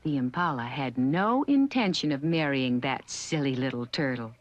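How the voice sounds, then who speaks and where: A woman narrating a scripted children's story in an expressive, chatty way, with asides to the listener. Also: rumbling low, normal speed, no echo, muffled recording.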